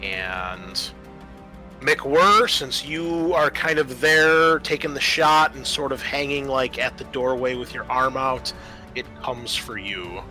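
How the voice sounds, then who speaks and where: A man's voice: medium pitch, slow, pushed and bright, faint music behind. A man narrating a tabletop horror roleplaying game as game master, casual and prone to swearing.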